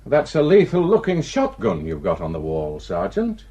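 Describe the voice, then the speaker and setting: Junior policeman, radio drama, husky, animated pitch, earnest, worried, plain-spoken. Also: normal speed, exaggerated voice. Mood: worried, urgent.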